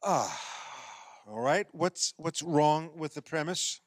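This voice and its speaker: A man's voice: a little high, animated, slow pace, no room sound, studio quality. An actor in a radio play playing an exasperated man who coaxes and explains insistently, often stammering. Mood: coaxing. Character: exasperated man coaxing a woman through a playground basketball game.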